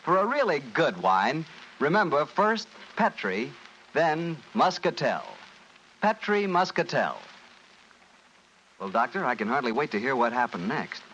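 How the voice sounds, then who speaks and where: Old time radio announcer guy, chatty sales style, lively pitch, dull muffled audio. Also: a little slow. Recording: muffled old radio recording, faint noise.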